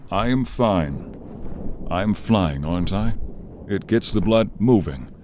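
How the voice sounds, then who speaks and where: A deep-voiced ancient dragon, acted out by a man, speaks in a solemn, courtly way. He is weary yet gentle and noble, taking his words very slowly, as in a fantasy audio roleplay. Background ambience sits behind the voice. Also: lively intonation, slight background noise. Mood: weary, reassuring. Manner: slow, solemn, formal, archaic phrasing. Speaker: voice actor and narrator. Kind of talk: dramatic ASMR fantasy roleplay.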